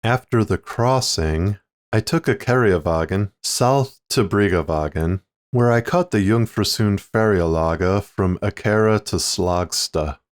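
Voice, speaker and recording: A fairly low-pitched American man read by a male storyteller recounts a journey from a short story. He is methodical and tediously thorough, setting out each place name with care. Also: unhurried, natural voice. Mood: methodical, plodding. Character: American man reciting a tediously detailed travel account. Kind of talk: read-aloud first-person fiction.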